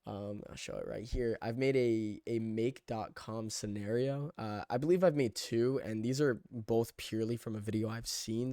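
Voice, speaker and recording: A young man of twenty talks in a casual, wandering way, like someone vlogging over a screen share. His pitch sits in the middle range for a man. He is self-deprecating and drifts off on side thoughts, recorded dry with very little room sound. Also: warm tone, no echo. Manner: self-deprecating, loose and digressive. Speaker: vlogger documenting a personal challenge.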